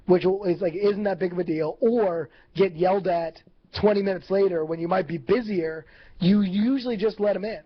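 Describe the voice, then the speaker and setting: A man's voice: high voice, normal speed. A man telling a story in casual chat as co-host, rambling with lots of "like" and "you know". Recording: lo-fi live stream audio.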